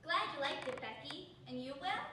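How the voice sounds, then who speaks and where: A woman's voice: sits high, expressive, moderate pace, sharp tone, a little hiss or hum. A young woman playing a wholesome, solicitous housewife in an old-time radio commercial performed on stage. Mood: perky. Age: young adult. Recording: very echoey distant stage recording.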